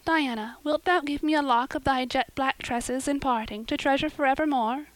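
A romantic young girl from a storybook, acted by a woman narrator, pitched high and full of drama. She speaks with great earnestness in flowery, old-fashioned turns of phrase, in a close, dry recording. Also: moderate pace, no echo, close to an everyday voice. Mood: solemn devotion. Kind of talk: audiobook character voice.

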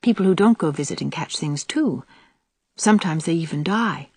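A woman voicing a lively, pleasant, frank young country woman in an audiobook. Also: medium pitch, fairly quick, slightly rough, no echo. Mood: firm. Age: young adult. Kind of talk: audiobook character voice.

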